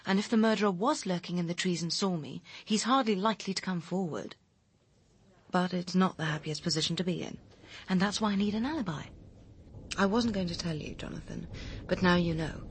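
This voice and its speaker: An actress with a British accent playing a bitter, persuasive young personal assistant with secrets in a radio crime drama, speaking in a pressing, cutting, articulate manner. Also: female voice, on the low side, fairly quick, slightly rough. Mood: guarded, confiding.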